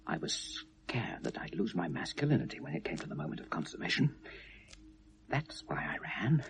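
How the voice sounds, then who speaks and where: An actor playing a tormented, obsessive lovelorn British man confessing to police, halting and bitter, shifting from hushed to anguished in a 1970s radio drama. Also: fairly low pitch. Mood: brooding, confessional. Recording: noisy vintage radio play recording.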